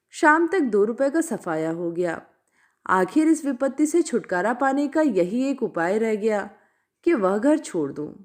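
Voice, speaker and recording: A woman narrating a literary short story in an expressive, scripted storytelling style. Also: fairly high pitch.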